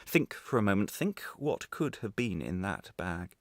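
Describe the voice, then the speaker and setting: Male actor as vengeful ex-prisoner for radio drama: low register, deliberate menace, theatrical, close dry sound. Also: big pitch swings, no room sound. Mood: quietly menacing. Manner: deliberate, menacing, theatrical, swinging from hushed to agitated. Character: embittered, vengeful former prisoner menacing his captor with a bomb. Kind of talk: dramatic radio play monologue.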